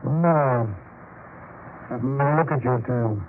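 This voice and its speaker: An actor playing a haughty, suspicious town elder, questioning sternly and sarcastically in a 1940s radio drama. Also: male voice, mid-range voice, slow pace. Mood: curt, imperious. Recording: tinny, very noisy 1940s radio recording.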